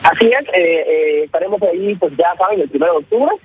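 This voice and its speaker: A man's voice: high voice, expressive, breathy edge, narrow, tinny audio, soft background music. A man born in Cuba, a guest actor on a radio interview, talking eagerly. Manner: quick, eager promotion.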